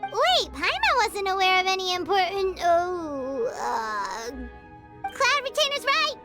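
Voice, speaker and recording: A tiny, chirpy fairy girl from a video game. The childlike voice is extremely high and squeaky, slowish yet wildly expressive, over prominent music. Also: full character voice. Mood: doubtful, flustered. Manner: squeaky, chirpy, refers to herself by name. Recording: game dialogue over loud playful music.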